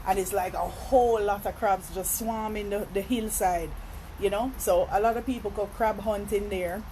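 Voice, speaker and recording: A woman from Jamaica with a Jamaican accent, chatting casually on a phone live stream at a seafood restaurant and breaking off her stories to give viewers shout-outs. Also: medium pitch, fairly even intonation, normal speed, slight background noise, poor audio. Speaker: vlogger.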